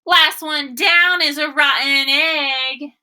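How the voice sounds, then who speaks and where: A woman's voice: sits high, fairly even intonation, slow, pushed and bright, pitched above the performer's own voice, heavily acted. A woman voicing an earnest, fair-minded young boy animal from a storybook, in an emphatic, childlike way. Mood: sly, playful.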